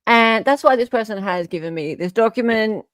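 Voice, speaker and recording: A woman's voice: a little high, expressive, moderate pace, fairly bright tone, clear, resonant tone, dry recording, studio quality. A woman with an Australian accent hosting a casual live chat, emphatic and given to repeating phrases for effect. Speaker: livestream host.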